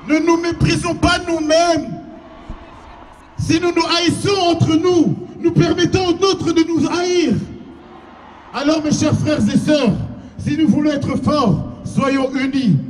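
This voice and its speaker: A man giving a rousing protest speech, declaiming slogan-like lines and shouting. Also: very high-pitched, slight background noise. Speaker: rally speaker. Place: outdoor square at a rally. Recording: echoey, far from mic, crowd.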